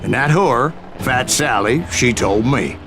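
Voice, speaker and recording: An actor playing a grizzled older gunslinger with an American Western drawl, narrating his boastful tales in a video game in a folksy, gravelly voice. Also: mid-range voice, animated, over music. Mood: wry, reminiscing. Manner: folksy, boastful, unhurried storyteller. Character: grizzled old gunslinger spinning tall tales.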